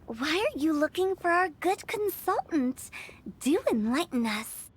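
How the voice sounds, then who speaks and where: A woman's voice: high-pitched, sing-song, unhurried, a little hiss or hum. An actress playing a playful, eccentric young female funeral parlour director in a game, chatty, teasing and theatrical. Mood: cheeky, breezy. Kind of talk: animated game voice acting. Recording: clear music bed behind voice.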